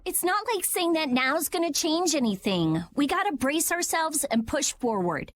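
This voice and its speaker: A woman's voice: sits high, sing-song, moderate pace, dry recording. A prickly teen genius girl. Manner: curt, snappish. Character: sharp-tongued teenage girl genius, prickly. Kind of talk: video game voice acting. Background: game music.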